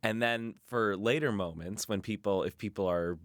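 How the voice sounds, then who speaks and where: A young man giving a casual interview as a guest, explaining things in a halting, thoughtful way. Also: lowish voice, no room sound, studio quality. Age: young adult. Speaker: interview guest, student puppeteer.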